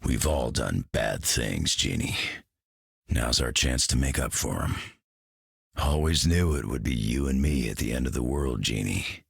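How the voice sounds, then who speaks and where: An actor playing a rugged, weary fighter, gruff but affectionate, with a gravelly voice. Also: male voice, a bass voice, animated, unhurried, no echo, clean studio sound, exaggerated voice. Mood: tender. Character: rugged weary tough man, tender.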